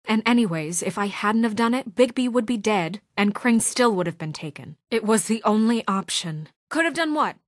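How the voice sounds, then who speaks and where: A woman's voice: fairly high pitch, lively intonation, moderate pace, few pauses, no echo, studio quality, lightly acted. A woman playing a principled young deputy mayor in a story video game, speaking with earnest, firm exasperation in naturalistic dramatic dialogue. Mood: defensive, exasperated.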